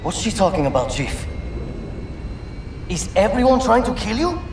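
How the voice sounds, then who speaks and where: A man's voice: high-pitched, big pitch swings, moderate pace, gravelly, heavily acted. An actor playing a frightened, exasperated everyman pilot in a video game, agitated and sarcastic. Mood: bitter sarcasm. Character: frantic, exasperated everyman pilot, fearful and bitter. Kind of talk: cinematic video game acting. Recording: loud music behind the voice.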